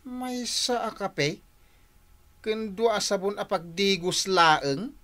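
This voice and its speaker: A man playing an excitable, grumbling family man in a radio drama, theatrical and exclaiming, with an Ilocano accent. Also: sits high, takes it slowly. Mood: excited. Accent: Ilocano, northern Philippines.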